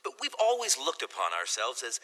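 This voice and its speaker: An actor playing a smug, greedy, cold-hearted chief executive in an animated series. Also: male voice, medium pitch, a little fast, exaggerated voice, low-quality recording.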